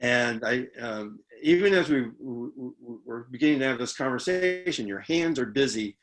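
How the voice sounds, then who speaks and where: A man hosting a video call interview, speaking haltingly with stammers and restarts. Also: mid-range voice, lively intonation. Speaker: host interviewer. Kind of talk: conversational interview.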